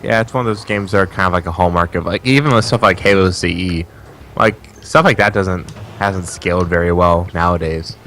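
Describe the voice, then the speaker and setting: A man's voice: deep voice, brisk, a bit bright, a little hiss or hum. A man giving casual, offhand, teasing commentary as co-host of a gaming stream. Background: video game music.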